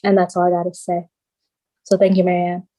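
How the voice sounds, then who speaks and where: A young woman from Canada gives a casual introduction over a video call, poking gentle fun at herself. Her voice is low and mellow, and it stays level without much lift. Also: monotone, a little fast. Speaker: panelist.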